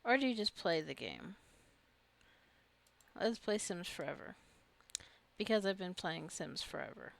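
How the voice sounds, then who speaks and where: A woman's voice: lowish voice, animated, unhurried, vocal fry, long pauses. A woman giving casual gameplay commentary, rambling and thinking aloud as she plays. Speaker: gamer narrating her own gameplay.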